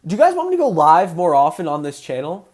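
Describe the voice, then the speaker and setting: A man hosting a casual livestream, excitable and answering viewers' chat questions as he goes. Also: fairly high pitch, fast talker. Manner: excitable, voice rises when passionate.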